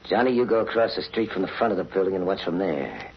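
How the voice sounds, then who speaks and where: An actor playing a tough, menacing gang boss in a 1940s radio drama, barking curt orders in street slang. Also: male voice, low voice, sing-song, fast talker, creaky, heavily acted. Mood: bossy. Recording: muffled, hissy 1940s radio recording.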